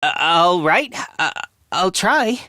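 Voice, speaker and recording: A man's voice: high voice, lively intonation, takes it slowly, pushed and bright, no echo, studio quality, full character voice. A man voicing a timid, self-loathing young male academy fighter in a video game, hesitant and prone to stammering. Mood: timid, self-deprecating.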